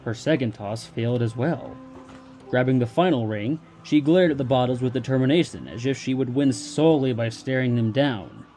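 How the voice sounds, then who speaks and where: Mellow male story narrator. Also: medium pitch, normal speed, faint music behind.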